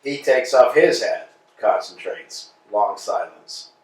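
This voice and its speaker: A man's voice: lowish voice, animated, slow. A man reading out stage directions in a plain, matter-of-fact way. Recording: echoey room from far off.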